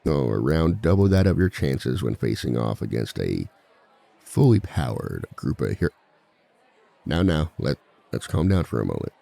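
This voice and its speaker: Man playing a very deep, gravelly villain lecturer. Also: sing-song, normal speed, dark tone, no echo, exaggerated voice. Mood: wry, cautioning. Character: suave male villain giving a dating seminar to fellow villains. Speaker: seminar speaker and relationship expert. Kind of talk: scripted ASMR roleplay monologue, seminar lecture.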